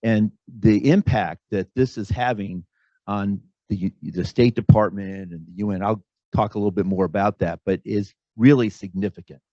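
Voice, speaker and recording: A man speaking off the cuff as a participant at a symposium, in a halting manner. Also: lowish voice, fairly even intonation, warm tone, no echo. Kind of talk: spontaneous endorsement at a symposium. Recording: dull lo-fi audio.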